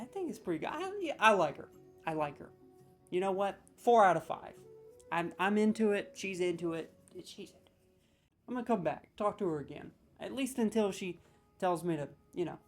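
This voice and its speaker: A man hosting a comedic video, ranting sarcastically with mock outrage as he scores game voice lines. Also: sits high.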